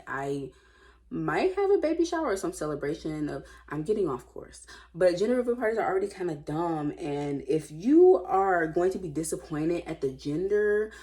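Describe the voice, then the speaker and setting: A woman reading aloud and commenting casually, with an informal, opinionated, slangy way of musing out loud. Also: low-pitched. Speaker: host reading and commenting on online stories.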